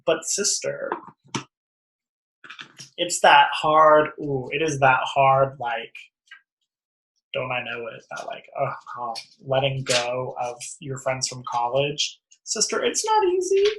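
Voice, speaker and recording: Slangy, animated young woman reading tarot. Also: rumbling low, takes it slowly, reverberant, slight background noise, poor audio. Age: young adult. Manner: animated, slangy, teasing, emphatic. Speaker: host and tarot reader. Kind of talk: casual chatty tarot reading.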